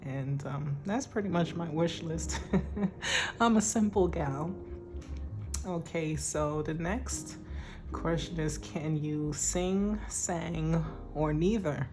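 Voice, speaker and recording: A woman vlogger with a weak, shaky voice, chatting casually and hesitantly about her budget. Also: sits low. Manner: slow and soft-spoken, with hesitant ums and light laughs. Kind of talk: casual chat.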